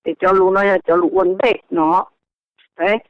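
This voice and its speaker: A woman's voice: on the low side, fairly even intonation, moderate pace, background noise. A woman speaking conversationally as a participant on a telephone conference call. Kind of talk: conversational speech on a group call. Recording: dull, lo-fi telephone conference line.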